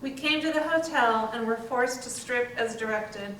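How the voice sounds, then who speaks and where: A woman's voice: on the high side, normal speed, fairly bright tone, close to an everyday voice. A woman playing an ailing young working woman, stoic and hopeful, in staged readers theater. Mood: grave. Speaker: community theatre performer. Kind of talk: readers theater dramatic narration. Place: library readers theater performance, indoors. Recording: echoey hall, distant, faint hiss.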